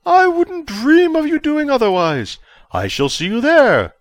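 A giddy, fawning chancellor, put on by a man reading a story, his voice pushed up high and loud. He gushes each word slowly and floridly, with wide swoops of pitch. The sound is dry and close. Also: huge pitch swings, no room sound, full character voice. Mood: gracious. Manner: gushing, drawn-out delivery.

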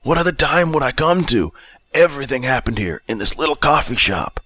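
A man acts out a destitute old drunk from an audiobook. The voice rides high and swings around, bitter and exasperated, with an agitated, pleading edge. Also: expressive, a little fast, pushed and bright, no room sound. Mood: annoyed. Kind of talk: acted audiobook dialogue.

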